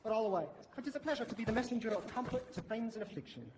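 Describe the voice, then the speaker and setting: A man's voice: sits high, lively intonation, very fast, background noise. A male actor playing a crime boss's glib underling in a period stage piece, wordy and declamatory in an echoey space with music. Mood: glib, obliging. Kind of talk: theatrical period stage acting. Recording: echoey theatre sound, loud music.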